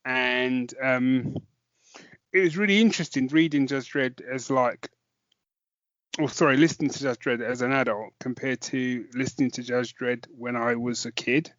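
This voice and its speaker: A man's voice: mid-range voice, steady tone, normal speed, fairly bright tone, no room sound, lo-fi sound. A man co-hosting a podcast, chatting casually about a review and thinking aloud as he goes. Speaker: podcast co-host.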